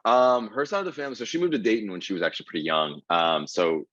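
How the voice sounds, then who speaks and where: Deep-voiced young man telling his story. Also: fast talker, fairly bright tone, no room sound, lo-fi sound. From Ohio, USA. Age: 20s. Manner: earnest storytelling with many fillers. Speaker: guest comedian. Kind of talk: casual podcast interview.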